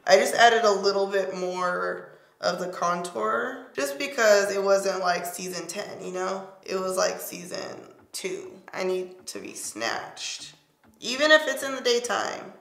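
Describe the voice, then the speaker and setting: A young woman talks her way through a makeup routine in a loose, playful way, her voice slightly hoarse and squeaky. She sits close to the camera in a small home studio. The sound carries a noticeable room echo. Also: expressive, a little slow, fairly bright tone. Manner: chatty, playful, talks while applying makeup. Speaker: beauty vlogger. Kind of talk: casual makeup tutorial chat. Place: home studio, pink backdrop.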